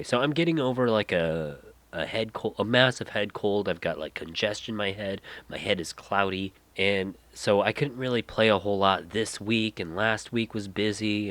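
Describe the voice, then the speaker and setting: A man's voice: lowish voice, moderate pace, a bit bright, no room sound. Stuffy-nosed man rambling on a podcast. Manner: rambling, trails off and restarts, drops to mutters. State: congested from a head cold, nasal. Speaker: podcast host. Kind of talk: casual solo podcast rambling.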